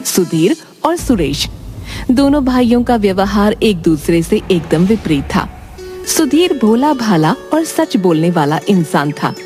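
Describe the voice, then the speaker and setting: A woman's voice: medium pitch, darkish tone. A woman narrating a scripted children's cartoon story in Hindi. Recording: music behind the voice. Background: background music.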